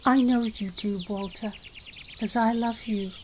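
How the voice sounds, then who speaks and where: An actress playing a spirited young woman in love in a period radio drama, lively and playful. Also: fairly high pitch, measured pace, a little hiss or hum, natural voice. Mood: tender. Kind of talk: old-time radio drama acting.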